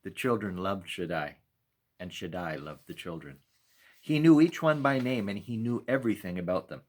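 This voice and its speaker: A man with a low voice narrates a story for children. He reads in a measured storytelling style, shaping phrases expressively and leaving deliberate pauses. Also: lively intonation. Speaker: pastor reading a children's story. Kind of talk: storytime read-aloud narration.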